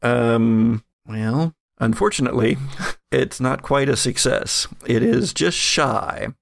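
Male game master for a horror tabletop podcast: deeply creaky voice, deliberate scene-setter, dry recording. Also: lively intonation, no echo. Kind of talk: narrating a tabletop horror game, with casual chat.

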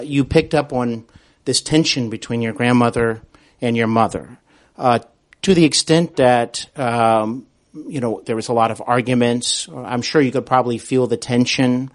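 An American man speaking conversationally as a podcast interviewer, attentive and a bit halting in his phrasing. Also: medium pitch.

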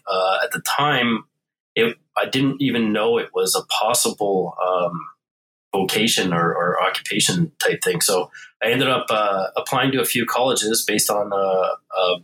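A man's voice: fairly low pitch, moderate pace, sharp tone, rough recording. A man in his forties, talking as a podcast guest in a loose, rambling way with many fillers and restarts. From Canada. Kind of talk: casual interview conversation.

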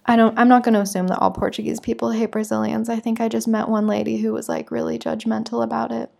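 Young american woman vlogging, chatty and candid, lots of "like", soft mellow voice. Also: on the high side, flat delivery, fast talker, gravelly. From United States. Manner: chatty, frequent "like" fillers, candid. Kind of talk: casual storytelling to camera.

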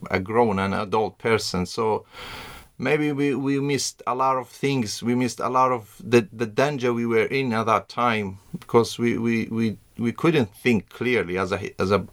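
Halting man in his thirties. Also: medium pitch, fairly bright tone. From Iraq, born in Iran. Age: 30s. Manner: halting, repeats words while searching for phrasing. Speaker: podcast guest. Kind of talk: conversational interview answers.

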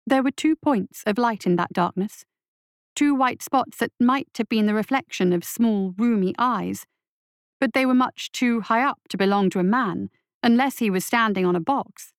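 A woman's voice: fairly high pitch, moderate pace, no echo, studio quality. A woman reading a scripted fiction story aloud as its narrator.

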